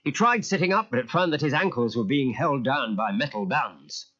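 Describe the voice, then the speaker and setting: Man, story narrator, expressive pitch, scripted dramatic reading, lo-fi tape. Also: normal speed. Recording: dull lo-fi cassette transfer.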